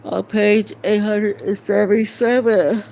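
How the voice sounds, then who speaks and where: A woman reading a novel aloud informally, halting and stumbling over words, with muttered casual asides to herself. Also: mid-range voice, steady tone, vocal fry, darkish tone, slight background noise. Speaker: amateur reader of a novel aloud.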